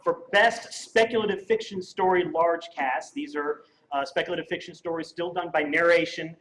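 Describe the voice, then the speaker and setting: A man's voice: on the high side, normal speed, fairly bright tone. A man presenting an award live on stage at a convention, speaking into a microphone. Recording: live hall with crowd and faint noise.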